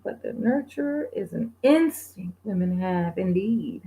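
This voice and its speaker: A woman in her forties talks to her viewers in a relaxed, unhurried way. She laughs easily and sometimes breaks into a playful sing-song. Her pitch sits in the middle. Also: rough recording. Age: 40s. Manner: laid-back, laughs often, sings bits. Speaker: livestream host. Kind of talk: casual chat reading viewer comments.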